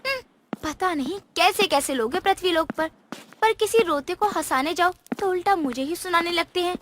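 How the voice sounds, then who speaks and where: A woman's voice: extremely high pitch, moderate pace, a bit bright, slight background noise. A woman voicing a cheeky, playful fairy girl in a cartoon story. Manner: teasing, chirpy, mischievous. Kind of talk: cartoon voice acting.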